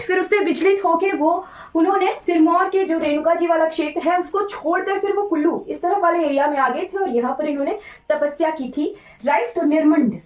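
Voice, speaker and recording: An echoey, distant recording of an Indian woman teaching a class. Her voice is high and slightly off. She lectures informally, repeating key points and often checking that students follow. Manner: repetitive, instructive, often checking with "right?". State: voice slightly off today. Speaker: teacher of an online exam-prep class. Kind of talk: informal lecture mixing Hindi with English terms. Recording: roomy echo, distant microphone.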